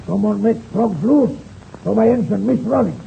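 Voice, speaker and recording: An actor playing a proud, defensive Russian air-race pilot who speaks broken, formal English in a 1930s radio serial. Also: male voice, high voice, normal speed, vocal fry, dark tone. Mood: defensive, indignant. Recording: muffled, noisy old-time radio recording.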